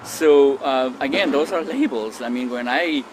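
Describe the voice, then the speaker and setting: A man's voice: mid-range voice, a little slow, a little hiss or hum, low-quality recording. Reflective middle-aged man from Costa Rica. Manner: thoughtful, with frequent ums and restarts. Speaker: interviewee. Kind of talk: reflective personal testimony.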